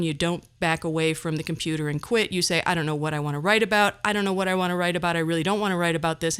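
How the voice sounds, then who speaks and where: A woman's voice: fairly low pitch, a bit bright, clear, resonant tone. A middle-aged woman talking conversationally as a podcast host, with wry, self-deprecating asides.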